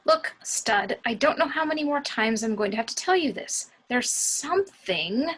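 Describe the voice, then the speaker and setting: A woman's voice: fairly high pitch, animated, normal speed, fairly bright tone, husky. A woman reading a tense, insistent morgue doctor in an amateur film table read, urgent in manner. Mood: exasperated.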